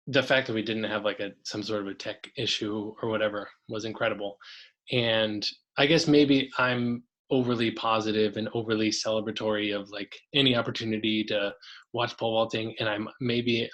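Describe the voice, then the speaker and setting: A man's voice: lowish voice, a bit bright. A man, a coach and podcast guest, talking casually and enthusiastically, often restarting his sentences.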